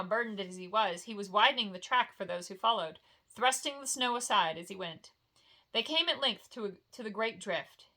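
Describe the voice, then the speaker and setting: Bright-voiced woman reading a story. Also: medium pitch. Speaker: storyteller reading a novel aloud. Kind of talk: bedtime story narration, expressive reading.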